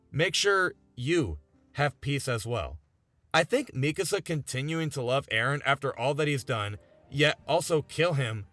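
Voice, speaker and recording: A man's voice: fairly high pitch, a bit bright, no echo. A man in his twenties narrating a scripted video essay in an earnest, emphatic way, often posing rhetorical questions. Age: 20s. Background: background music.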